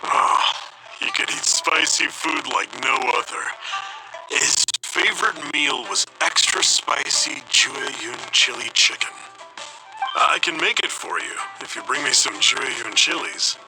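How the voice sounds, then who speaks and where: A man voicing a reserved, wistful young man in a video game, measured and gently reflective. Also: medium pitch, low-quality recording. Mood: wistful, subdued. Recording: music behind the voice.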